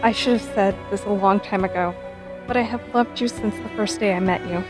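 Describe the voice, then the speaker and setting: A woman's voice: mid-range voice, fairly even intonation, a little fast, over music, lightly acted. A young woman playing a devoted, playful eighteen-year-old sweetheart in a romantic audio drama. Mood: tender, earnest.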